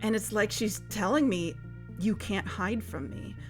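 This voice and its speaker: An actress playing a worried, guarded amateur investigator, speaking hesitantly in a naturalistic audio drama. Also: female voice, mid-range voice, a little slow, faint music behind, lightly acted. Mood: uneasy, resigned.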